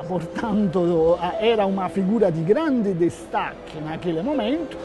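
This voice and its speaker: A man with a notably high voice explains slowly as an expert being interviewed. There is clear music behind him.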